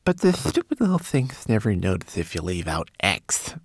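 A man voicing a tiny, clever, excitable male homunculus in a storybook, with a fussy, exclamatory manner. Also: low-pitched, fast, creaky, no echo, heavily acted. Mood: drowsy, disdainful.

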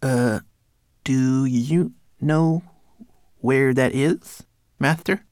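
A man's voice: medium pitch, a little slow, dry recording, clean studio sound, pitched above the performer's own voice. A man voicing a timid, servile little homunculus in a storybook, stammering nervously and pleading with his master. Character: timid, servile, spindly male homunculus servant.

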